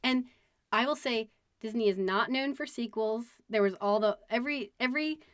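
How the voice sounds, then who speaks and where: A woman co-hosting a podcast in casual chat, talking quickly and laughing often. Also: a little high, no room sound, studio quality. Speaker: podcast co-host.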